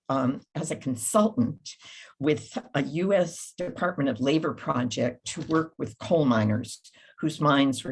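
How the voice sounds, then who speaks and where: A woman's voice: rumbling low, creaky, husky. An older woman speaking at a literary event, introducing and reading memoir aloud.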